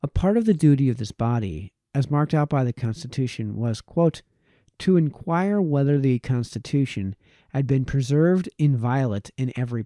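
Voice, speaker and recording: A man reading a formal historical text aloud as a narrator, in measured, formal phrasing. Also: mid-range voice, mellow, dry recording, clean studio sound. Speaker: reader, narrator.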